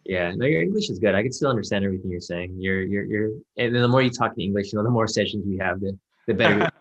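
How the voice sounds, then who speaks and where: Fast-talking low-voiced man mentoring on a call. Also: muffled recording. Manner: relaxed, reassuring, filler-heavy. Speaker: trading mentor. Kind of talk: casual one-on-one mentoring call.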